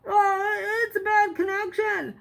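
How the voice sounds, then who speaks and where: A woman's voice: extremely high pitch, fairly even intonation, slow pace, gravelly, a bit bright, voice pushed up, heavily acted. A woman voicing a frightened, groveling boy in a storybook, stammering and pleading as he bluffs. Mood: loud, pleading.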